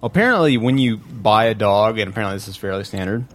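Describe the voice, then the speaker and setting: A man's voice: lowish voice, expressive, quick pace. A man hosting a casual podcast, talking over the news in a blunt, profane way. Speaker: podcast host. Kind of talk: casual crude panel chat about news.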